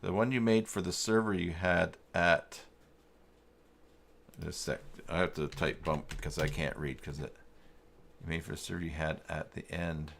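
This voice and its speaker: Low-voiced man thinking aloud. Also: steady tone, creaky, a bit bright, slight background noise. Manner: halting, muttering to himself while working. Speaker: live stream host giving web tutorials. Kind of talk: casual live tech walkthrough, thinking aloud.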